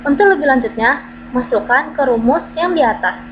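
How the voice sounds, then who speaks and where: A woman with a slightly high voice reads through formulas and worked examples like a tutor. She goes step by step in a steady, methodical way. The recording sounds dull and lo-fi, with a clear hum running underneath. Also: moderate pace. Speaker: math tutor. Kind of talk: reading out a math lesson.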